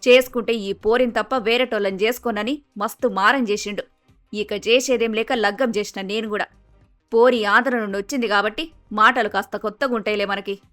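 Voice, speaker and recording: A woman's voice: mid-range voice, steady tone, brisk, a bit bright, soft background music, natural voice. A woman voicing a blunt, bossy middle-aged village mother-in-law with an emphatic Telangana Telugu accent, in a cartoon story. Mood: boastful, chatty. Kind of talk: acted dialogue in animated moral story.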